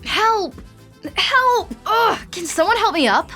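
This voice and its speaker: High, swooping sullen teenage girl. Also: big pitch swings, takes it slowly, bright, forceful tone, heavily acted. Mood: exasperated. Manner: exasperated, whiny. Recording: music behind the voice.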